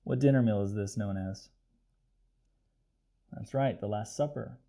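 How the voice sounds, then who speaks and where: A man reading a storybook aloud for children in an explanatory way, pausing to question his young listeners. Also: lowish voice, gravelly, warm tone. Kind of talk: expressive children's read-aloud with asides.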